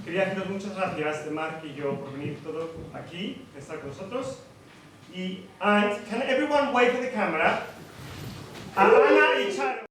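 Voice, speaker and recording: A man's voice: sits high, a little fast, a little hiss or hum. A man giving an unscripted wedding toast as the groom, self-deprecating and laughing between remarks. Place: wedding reception hall with guests. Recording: echoey hall, captured from far off over a loud crowd.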